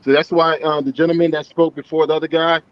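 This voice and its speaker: A man's voice: a little high, flat delivery, fast talker. A man from Haiti chiming into a casual group chat, emphatic and repeating words as he cuts in. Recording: dull lo-fi call audio, very dry.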